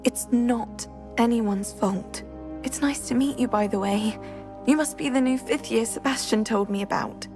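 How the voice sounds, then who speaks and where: An actress playing a tired, gentle young witch suffering from a curse, speaking softly with sighs. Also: a little high, unhurried, music in the background, close to an everyday voice. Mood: weary. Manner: soft, tired, sighing. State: weary. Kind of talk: video game cutscene acting.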